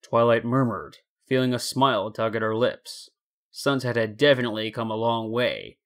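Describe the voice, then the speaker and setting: A man's voice: medium pitch, moderate pace, fairly bright tone. A man narrating fan fiction as a scripted story.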